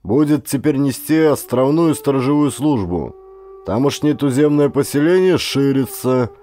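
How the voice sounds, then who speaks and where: A stern, aging governor of a frontier colony, acted by a male narrator. He speaks in commanding, old-fashioned officialese with animated pitch. He is irritable yet shrewd, made for an adventure audiobook. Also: normal speed, natural voice. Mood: decisive.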